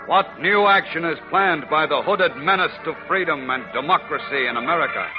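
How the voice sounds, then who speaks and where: A man giving suspenseful, emphatic scripted narration in a 1940s radio style on a dull old recording. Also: high-pitched. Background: dramatic music.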